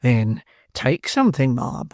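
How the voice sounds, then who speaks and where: Pompous slow-talking beadle guy, man doing the voice, grave and puffed up. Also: a little high, very animated, darkish tone, no room sound, studio quality, heavily acted. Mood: pompous solicitous. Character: pompous middle-aged male parish beadle, self-important.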